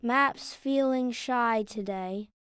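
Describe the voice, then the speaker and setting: A narrator voicing a kindly, scholarly male library spider with a polite, precise, gently fussy manner. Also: mid-range voice, takes it slowly, clear, resonant tone, no echo, clean studio sound, pitched above the performer's own voice. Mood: kindly, thoughtful.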